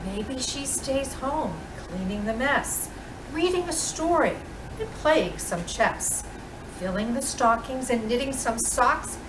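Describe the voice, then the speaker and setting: Female storytime reader, picture-book delivery, unhurried and expressive, captured in a roomy space from a distance. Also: high-pitched. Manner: expressive, deliberate storytelling with dramatic pauses. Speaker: storytime reader playing Mrs. Claus. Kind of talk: reading a children's picture book aloud. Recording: roomy, distant microphone with clear background noise.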